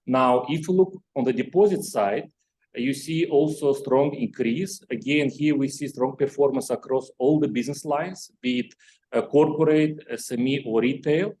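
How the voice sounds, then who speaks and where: Non-native english guy walking through company numbers on a call, soft dark tone, explains step by step. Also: medium pitch, normal speed, slight background noise. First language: not English. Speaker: company executive presenter. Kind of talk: financial results presentation. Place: conference call.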